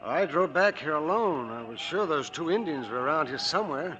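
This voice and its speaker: Muffled, hesitant deputy. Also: male voice, a little high, expressive, moderate pace, bright, forceful tone. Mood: dazed. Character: dazed, hesitant local deputy lawman. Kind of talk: 1940s radio drama acting. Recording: muffled old radio broadcast.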